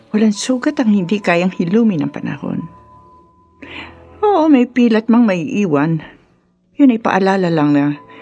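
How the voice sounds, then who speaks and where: A woman's voice: lowish voice, dark tone, husky, highs cut off, full character voice. An actress playing a wise older mother in a Filipino radio drama, consoling earnestly and soothingly with a Filipino accent.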